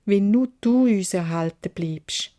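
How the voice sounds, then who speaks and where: A woman's voice: medium pitch, slow pace, mellow, natural voice. A Swiss German woman voicing a kind, honest, dutiful young beauty in a storybook fairy tale, speaking earnestly. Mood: firm, dutiful. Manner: earnest, emphatic.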